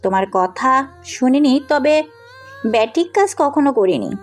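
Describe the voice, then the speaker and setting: Audio drama daughter: blunt, caring young woman played by a woman, animated high voice, music underneath. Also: measured pace. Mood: defiant. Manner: blunt, insistent. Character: headstrong, compassionate young woman, outspoken businesswoman daughter. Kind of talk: Bengali audio story acting.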